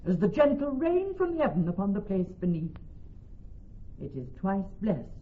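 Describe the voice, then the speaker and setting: An actress playing a composed, eloquent young doctor of law in Shakespearean radio drama, poised and declamatory. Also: female voice, mid-range voice. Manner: poised, declamatory, clearly phrased. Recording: slightly muffled old radio recording.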